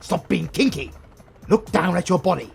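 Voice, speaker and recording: A man acts out a British comic-book supervillain with a highly animated, gravelly voice. He is theatrical and menacing, yet easily exasperated and secretly smitten with his heroine rival, in a romantic comedy audio drama. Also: normal speed, faint music behind, heavily acted. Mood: exasperated, panicked.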